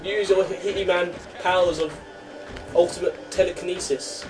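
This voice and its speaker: A young man chatting casually as a gaming co-host, excitable, given to jokey complaining and yelling. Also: high-pitched, measured pace, music in the background, rough recording. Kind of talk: casual gameplay banter. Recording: clear noise, game sound behind. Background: video game sounds.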